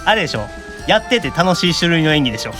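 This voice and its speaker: A man's voice: on the high side, big pitch swings, fast, over music. A man, chatting casually and teasingly as a podcast host. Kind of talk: casual chat.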